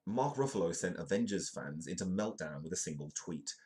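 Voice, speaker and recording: A man with a very low, deep voice and a British accent, hosting a comedic news stream. His nose is stuffed up and bunged from a cold, and he keeps a chatty, joking tone while reading stories aloud. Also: expressive, fairly quick, a little mellow. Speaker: host. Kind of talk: comedic live news show.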